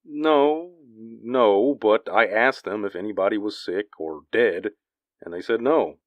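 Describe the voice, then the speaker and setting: An actor playing a grumbling, evasive building caretaker in a 1940s radio drama. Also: male voice, lowish voice, unhurried, no echo, lo-fi sound, lightly acted. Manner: dismissive and grumbling.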